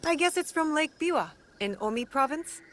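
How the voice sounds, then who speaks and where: A woman's voice: sits high, animated, a little fast, fairly bright tone, a little hiss or hum. An actress playing a young, resolute and loyal female shinobi in a game cutscene, earnest and direct. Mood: calm, kind. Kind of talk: dramatic game cutscene acting.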